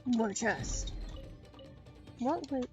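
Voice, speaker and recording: A woman's voice: a little high, expressive, extremely slow, soft background music. A woman giving casual, offhand live commentary on a game she is playing, close to the mic. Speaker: game streamer.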